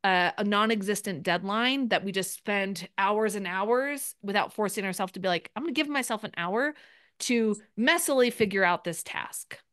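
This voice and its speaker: A woman's voice: medium pitch, normal speed, fairly bright tone, dry recording, studio quality. A woman coaching people in a live group session, speaking in a direct and encouraging way. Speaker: business coach.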